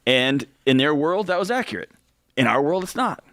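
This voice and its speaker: A man's voice: a little high, very animated, normal speed, a bit bright, dry recording, clean studio sound. A man in his forties co-hosting a radio talk show, talking earnestly and emphatically in casual chat. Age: 40s. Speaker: co-host.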